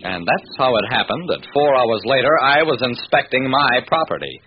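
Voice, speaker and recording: A man's voice: mid-range voice, big pitch swings, normal speed, lightly acted. A man playing a wisecracking, stubborn private detective, narrating his own case in an old-time radio drama. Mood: wry narrating. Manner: wry, punchy storytelling. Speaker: narrator and lead character. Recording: noisy, dull old radio recording.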